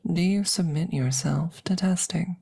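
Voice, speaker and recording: A woman voicing a formal, curious alien examiner in an ASMR roleplay, soft and breathy, often whispering, with precise clinical phrasing. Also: on the low side, a little slow, exaggerated voice. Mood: clinical, hushed. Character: formal, curious female alien examiner interviewing a rescued creature.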